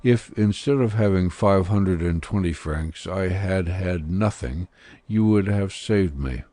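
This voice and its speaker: A man voicing a stern, blunt older priest with hidden kindness, speaking gravely and deliberately in an audiobook. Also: low voice, warm tone, no echo. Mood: earnest, advising. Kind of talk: audiobook character dialogue.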